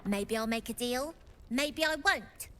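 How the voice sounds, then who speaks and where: High, bright street girl. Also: unhurried, slight background noise. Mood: stubborn, protective. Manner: cheeky, informal street grammar. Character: scrappy, defiant streetwise young gang-leader girl. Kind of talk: video game character acting. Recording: music behind the voice.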